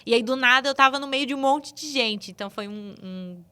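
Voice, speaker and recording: Brief: young woman guest, bright and crisp tone, loose rambling chat. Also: sits high, a little fast. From São Paulo state, Brazil. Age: 20s. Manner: chatty, loose, many filler tags. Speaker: sports journalist guest. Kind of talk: casual interview chat.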